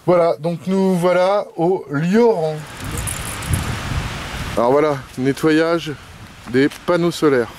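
A man's voice: fairly high pitch, slow, long pauses, a little mellow. A middle-aged man, narrating a family motorhome trip in a casual, chatty way with many fillers. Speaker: family travel vlogger. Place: outdoors at a ski resort and in a motorhome. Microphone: handheld camera mic. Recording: noisy outdoor camera audio. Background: vehicle and outdoor ambience.

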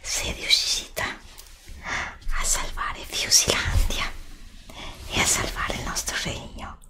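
A woman playing a grateful, gentle royal of a fairy-tale kingdom, soothing and solemn in an ASMR roleplay. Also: natural voice. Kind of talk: whispered Italian ASMR roleplay.

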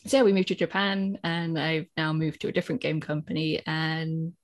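A British woman with a low, deep voice tells her story in a chatty, self-deprecating way and laughs often. She speaks from a home office on a video call with a headset and desk mic. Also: little pitch movement, normal speed. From Britain. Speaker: translator panelist. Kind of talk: casual panel talk. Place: home office lined with bookshelves. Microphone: headphones with a desk mic, video call.

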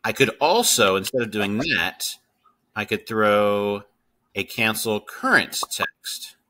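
Guy doing a casual coding tutorial, lowish voice, really bright and forceful. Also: takes it slowly. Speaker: tutorial host.

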